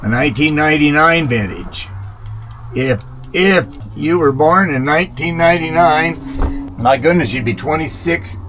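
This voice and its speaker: A man's voice: a little high, measured pace, a bit bright. A man narrating a hands-on job casually, rambling a little as he thinks aloud. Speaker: vlogger installing a vintage radio. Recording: clear background noise, vehicle ambience.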